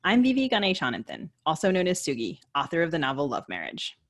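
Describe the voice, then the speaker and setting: A woman's voice: sits low, wildly swooping pitch, fast. A woman co-hosting a podcast in casual, conversational banter. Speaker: podcast co-host.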